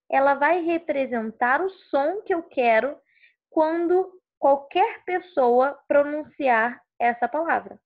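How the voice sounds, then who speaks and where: A woman teaching a lesson on letters and sounds, explaining step by step and often checking with "ok?". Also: a little high. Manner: slow, explanatory, checks understanding with "ok?". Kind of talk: recorded classroom lesson. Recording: tinny phone-like sound.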